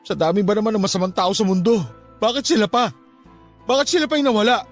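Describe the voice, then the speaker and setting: A man's voice: high-pitched, expressive, fast talker, soft background music. A young man playing a stubborn, grieving orphaned nephew in a Tagalog radio drama, plaintive and emotional. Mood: grieving, anguished.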